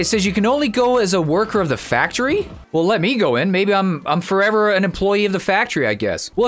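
Male gaming host, high-pitched voice, conversational and viewer-directed, music underneath. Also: a bit bright. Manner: upbeat greetings, chatty, addresses viewers directly. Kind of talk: casual gameplay commentary.

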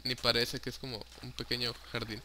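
Young Mexican guy, let's-player; mid pitch, bright and crisp, loose and jokey, some hiss on the track. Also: little pitch movement, fairly quick. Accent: Mexican Spanish. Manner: chatty, joking, swears casually, mixes in English words. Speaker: gaming YouTuber. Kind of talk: casual Minecraft let's play commentary.

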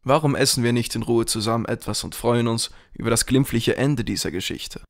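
A man playing a smooth-talking grill owner who is secretly a henchman, in a parody audio drama. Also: mid-range voice, brisk, close to an everyday voice. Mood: reassuring.

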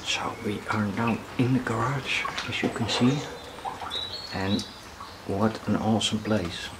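A young man exploring a dark abandoned house, talking quietly and haltingly into his handheld camera as he shows the place. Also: fairly low pitch, loud music behind the voice. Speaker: urban explorer vlogger. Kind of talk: hushed walkthrough narration.

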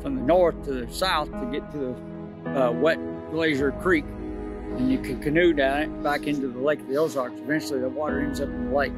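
A man's voice: mid-range voice, fairly even intonation, moderate pace, music in the background. A middle-aged man outdoors beside a parked vehicle, explaining a nearby creek in a brief, matter-of-fact way. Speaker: co-host. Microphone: partner's phone camera.

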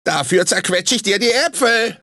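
A manic talking fruit mascot from a cartoon video game. The voice is very high and swoops all over, theatrical and grumpy under a sugary sales pitch. The sound is dry and close. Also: male voice, expressive, moderate pace, bright, forceful tone, dry recording, full character voice. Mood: whiny, indignant. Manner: theatrical, swinging between sugary salesman and irritable grumbler. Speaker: video game character actor.

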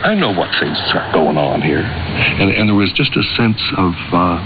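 An older man reminiscing in a halting, reflective way, heard over shortwave radio. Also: sits low, expressive. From Canada. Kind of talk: reminiscing, personal storytelling. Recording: muffled, noisy shortwave radio broadcast.

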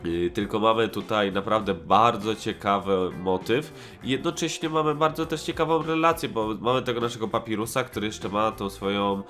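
A man's voice: fairly low pitch, normal speed, fairly bright tone. A man giving a casual, rambling review, hesitant and slangy, often correcting himself. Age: 20s. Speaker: host of a nostalgic cartoon review show. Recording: music playing behind the voice.

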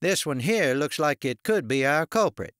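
A man voicing a savvy paramedic in his late fifties, brisk, matter-of-fact and wry, in an audiobook. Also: a little high, lively intonation, fairly bright tone, clean tone, no echo, studio quality, pitched above the performer's own voice. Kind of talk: audiobook character dialogue.

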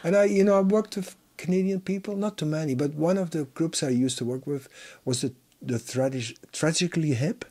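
An older Dutch man speaks with a slightly high-pitched voice, chatting as a guest into a close studio mic. He stops and restarts while he looks for English words. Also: a little mellow. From Netherlands. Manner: halting, searching for English words. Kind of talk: casual chat. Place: small home studio, indoors. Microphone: headphones and boom mic.

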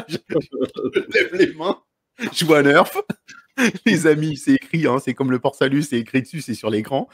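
A man's voice: fairly high pitch, unhurried, long pauses, fairly bright tone, husky, no echo, studio quality. Chatty man hosting a live stream. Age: 30s. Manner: chatty, encouraging, repeats himself. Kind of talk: casual live video chat. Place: indoor room at home. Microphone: large desk microphone.

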